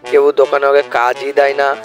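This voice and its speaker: A man's voice: mid-range voice, completely flat delivery, fairly quick. A man narrating an amateur cartoon story in an informal, colloquial, repetitive storytelling manner. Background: faint music.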